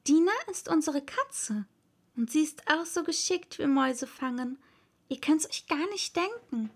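Woman as young storybook girl, high voice, animated, polite, curious, eager, naive. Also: slow, full character voice. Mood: eager, proud. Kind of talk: storybook character acting.

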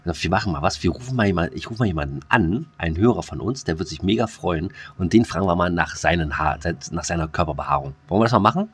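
Deep husky-voiced man chatting casually. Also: lively intonation, fast talker, hardly any pauses. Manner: rambling, many fillers and restarts, crude slang. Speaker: podcast co-host. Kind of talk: casual chat.

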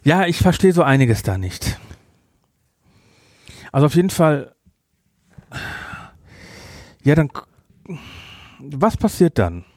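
A man's voice: a little high, big pitch swings, unhurried, long pauses, darkish tone, no echo, clean studio sound. A man co-hosting a podcast, chatting casually in a review, hesitant with many fillers and restarts. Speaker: podcast co-host.

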